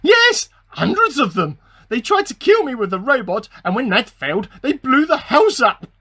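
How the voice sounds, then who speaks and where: A man's voice: very high voice, very animated, moderate pace, sharp tone, exaggerated voice. A man playing an agitated, paranoid amateur scientist in a radio play diary, with melodramatic delivery. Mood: frantic. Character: paranoid male amateur scientist at war with hamsters.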